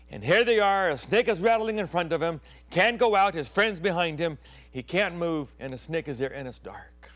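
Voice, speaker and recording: A middle-aged man who grew up in Thailand, a pastor hosting his own program, telling stories in a sermon and often dropping to hushed tones and whispers. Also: on the high side. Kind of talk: storytelling sermon.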